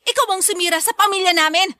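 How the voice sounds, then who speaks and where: Hot-tempered elder sister, played by a woman: very high, quick, scolding, accusing, radio-drama delivery. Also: sharp tone, dry recording, studio quality. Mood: furious, accusing.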